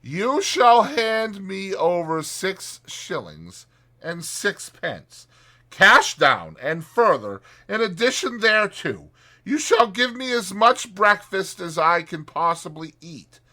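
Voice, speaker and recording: A man's voice: high-pitched, very animated, slow, sharp tone, voice pushed up, exaggerated voice. A man voicing a boastful, pompous toad haggling in a wordy, indignant manner in a children's storybook. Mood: firm, haggling. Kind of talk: dramatized children's storybook reading.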